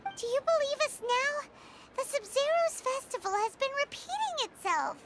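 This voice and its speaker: A woman voicing a tiny, excitable, childlike fairy companion in a video game, with a squeaky voice. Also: normal speed, music in the background. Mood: earnest.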